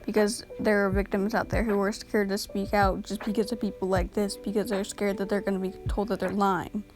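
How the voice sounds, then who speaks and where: A woman recording an early-morning voiceover for a drama commentary video, sounding tired and a little raspy, blunt and exasperated in a casual way with lots of fillers. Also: mid-range voice, level tone, fairly quick, over music. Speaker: commentary YouTuber. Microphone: home voiceover mic.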